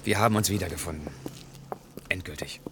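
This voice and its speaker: An actor playing a tender, wistful grey-haired former lover in a radio play, speaking in an intimate, pleading way. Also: male voice, deep voice, fairly quick, background noise. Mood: tender, longing.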